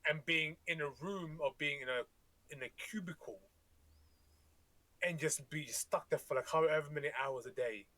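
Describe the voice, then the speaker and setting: A man's voice: fairly high pitch, normal speed, sharp tone, dry recording. A man whose first language is Tagalog, co-hosting a podcast in a rambling, casual way, often restarting and swearing freely. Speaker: podcast co-host. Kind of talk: casual chat.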